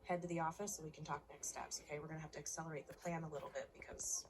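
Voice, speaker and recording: Low-voiced, composed female adviser. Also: fairly even intonation, slight background noise. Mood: calm, instructive. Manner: measured, coaching. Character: calm, philosophical, strategic female adviser to an athlete. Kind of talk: naturalistic game cutscene dialogue.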